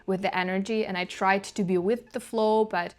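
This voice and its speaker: A young woman with a Ukrainian accent, speaking English as a second language, muses aloud in a relaxed vlog. Her voice is smooth and clear at a middle pitch. She often stops to search for a word and starts the phrase again. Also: moderate pace. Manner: searching for words, frequent restarts. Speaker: vlogger. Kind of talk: casual reflective monologue.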